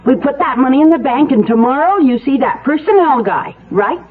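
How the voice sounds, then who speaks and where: A noisy, muffled old radio drama with a bold wife. Her voice is high and very lively in pitch, brisk and bossy but affectionate. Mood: teasing, firm. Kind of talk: 1970s radio drama acting.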